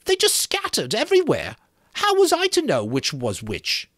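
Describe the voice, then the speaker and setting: A man's voice: huge pitch swings, moderate pace, fairly bright tone, no room sound, studio quality, exaggerated voice. A man voicing an indignant, panicky schoolboy outcast, whining and protesting in a dramatic novel reading. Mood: defensive, indignant. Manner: shrill, whining, protesting, gasping delivery.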